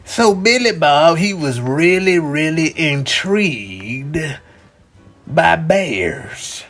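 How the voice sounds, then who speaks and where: A man telling an improvised bedtime story to children as a dad and host, animated and drawn-out, repeating phrases for emphasis. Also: fairly high pitch, soft background music.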